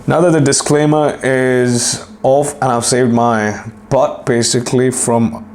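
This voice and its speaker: A hoarse young man hosting a solo fitness podcast, rambling and swearing casually while clearing his throat. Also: mid-range voice, level tone, slow pace, darkish tone, a little hiss or hum. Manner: profane, rambling, self-deprecating. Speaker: podcast host and fitness coach.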